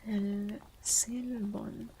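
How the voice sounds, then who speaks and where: A woman whispering softly, chatting and reading a legend in an ASMR stream, with a feminine voice on the low end of the register. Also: flat delivery, drawn-out words, vocal fry, a little hiss or hum, low-quality recording. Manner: soft unvoiced whispering.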